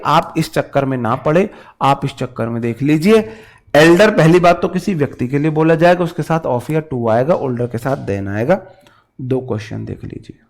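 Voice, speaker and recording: A man with an Indian accent teaching a grammar lesson informally, drilling points by repetition and addressing students familiarly. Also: a little high. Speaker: English grammar teacher for exam candidates. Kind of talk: informal classroom-style grammar lesson, switching between Hindi and English.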